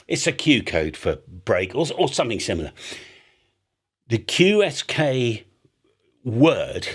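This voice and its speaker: A British man hosting a casual explainer in a chatty, animated way, slipping into acted-out example exchanges. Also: mid-range voice, slow pace, breathy edge. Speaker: ham radio video host.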